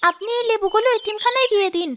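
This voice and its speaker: A young village girl, earnest and kind, speaks in a very high, bright voice, as a woman puts her on. She talks up to the grown-ups with feeling. The medium is a storybook cartoon. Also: rapid-fire. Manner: earnest and pleading. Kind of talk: cartoon voice acting.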